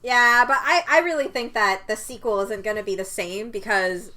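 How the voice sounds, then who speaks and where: A woman's voice: medium pitch, moderate pace, sharp tone. A woman whose first language is Cantonese, co-hosting a podcast in a chatty, laughing way. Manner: chatty, laughs often, restarts words. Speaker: podcast co-host. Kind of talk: casual film discussion.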